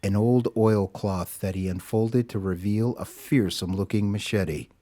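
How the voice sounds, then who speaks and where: A male narrator for an audio drama, reading scripted prose. His voice sits on the low side, and he tells the story in a measured, literary way. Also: dark tone.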